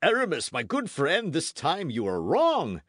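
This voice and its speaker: A man voicing a boastful, loud musketeer in an audiobook, theatrical and full of exclamations. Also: fairly high pitch, huge pitch swings, unhurried, dry recording, clean studio sound, pitched above the performer's own voice. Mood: indignant, scolding. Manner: theatrical, exclamatory, swooping emphasis. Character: boisterous, boastful swaggering musketeer, loud and self-satisfied.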